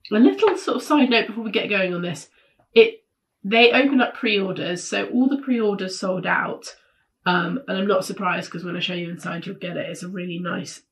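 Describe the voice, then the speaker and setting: A woman hosting a weekly sewing pattern roundup, chatting casually to camera in a husky, congested voice because of a cold, full of enthusiasm and frequent ums. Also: medium pitch, fairly bright tone, low-quality recording.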